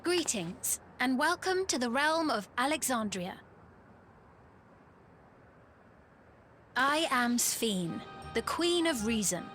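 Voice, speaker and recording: Gentle young queen from a fantasy game, highish voice, really expressive, formal n regal. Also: unhurried, faint music behind. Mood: gracious, stately. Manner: formal, regal, courteous phrasing. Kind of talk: dramatic fantasy game acting.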